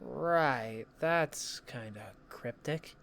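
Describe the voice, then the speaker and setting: A man's voice: medium pitch, drawn-out words, gravelly, fairly bright tone, a little hiss or hum, exaggerated voice. A man playing an anxious, friendly young male student in an audio drama, casual and hesitant. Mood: concerned, unsure.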